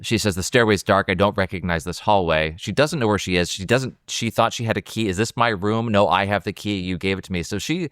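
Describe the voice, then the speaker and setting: A man's voice: on the low side, fairly quick, hardly any pauses, fairly bright tone, clean tone, no echo, studio quality. A man co-hosting a film discussion, working through his questions aloud in a casual way. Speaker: podcast co-host analyzing a film.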